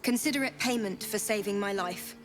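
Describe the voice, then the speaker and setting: A woman's voice: medium pitch, a little fast, lightly acted. An actress playing a wary, plain-spoken woman survivor in a fantasy video game, frank, pragmatic and guarded. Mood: wary, wry. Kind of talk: video game character acting.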